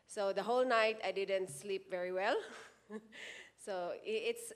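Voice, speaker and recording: A woman in her forties sharing a personal testimony at a church service into a handheld mic, earnest and halting, often restarting her words. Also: medium pitch. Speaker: church member giving testimony.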